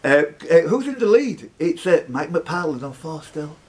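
A man's voice: on the high side, sing-song, moderate pace. A man hosting a live quiz, reading out questions with teasing, sweary banter. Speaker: quiz host.

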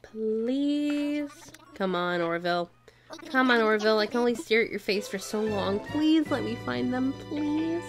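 A woman chats through her game stream with playful drama, pleading and protesting in a casual way. Her voice is getting worn out from hours of talking. Clear game music plays under her. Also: fairly high pitch, expressive, unhurried. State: losing her voice. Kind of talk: casual gameplay commentary.

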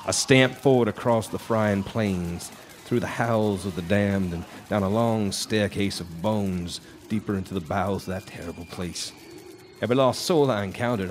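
A man's voice: lowish voice, close to an everyday voice. An actor playing a bitter, haunted, hard-drinking guitarist, telling his tale in a brooding, theatrical noir radio drama style. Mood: anguished, intense. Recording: live stage recording with background noise.

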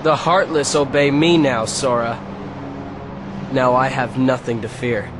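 A man's voice: mid-range voice, fairly even intonation, a little slow, background noise, natural voice. An actor playing a cold, arrogant teenage boy rival in a video game, with a taunting, self-assured manner. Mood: smug.

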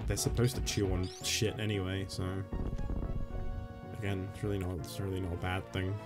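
A man rambling casually and swearing while playing a game, his voice going hoarse. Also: low voice, level tone, moderate pace, loud music behind the voice. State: losing his voice. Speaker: gaming YouTuber. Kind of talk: casual let's-play commentary.

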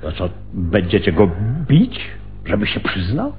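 An actor playing an anxious, conscientious older gentleman in a radio drama, halting and repeating his words. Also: deep voice, wildly swooping pitch, a little hiss or hum, heavily acted. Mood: uneasy. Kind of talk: Polish radio drama acting. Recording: muffled phone-like radio recording.

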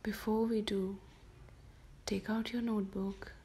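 A woman softly whispering a guided sleep meditation for children, slow and gentle. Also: mid-range voice, little pitch movement. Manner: soft whispered delivery.

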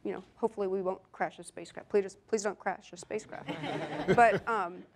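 A woman's voice: mid-range voice, steady tone, fairly quick, slight background noise. A woman in her thirties, an engineer on a conference panel, speaking earnestly with many repeated words and some light humor. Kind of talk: panel discussion. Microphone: lapel mic.

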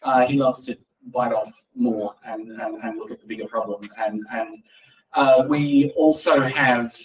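A man's voice: mid-range voice, fairly even intonation, a little slow. Man giving a talk, distant mic. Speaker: conference speaker. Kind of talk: informal tech meetup talk with audience questions. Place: indoor meeting room, speaking at a lectern. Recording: distant mic in a meeting room.

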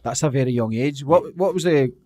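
A man's voice: mid-range voice, fairly quick, a little mellow. A man in his thirties hosting a podcast, asking friendly questions and swearing casually. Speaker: podcast host. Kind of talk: casual interview chat. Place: small podcast studio, brick wall. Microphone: studio mic on boom arm.

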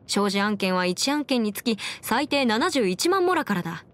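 A woman's voice: on the high side, sing-song, moderate pace. A woman voicing a confident, bookish young female legal expert in a video game, speaking in a self-assured, formal, lecturing way. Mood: businesslike, proud. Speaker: video game character voice actor. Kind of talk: scripted anime-style game character monologue.

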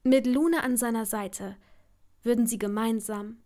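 A woman's voice: on the high side, steady tone, normal speed, mellow. A woman reading scripted story narration as the narrator of an audio drama.

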